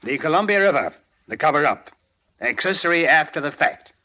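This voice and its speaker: A man's voice: fairly high pitch, lively intonation, fairly quick, close to an everyday voice. A man playing a brash, persistent newspaperman in a 1940s radio crime drama, punchy and terse, on a dull old recording. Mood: eager, knowing.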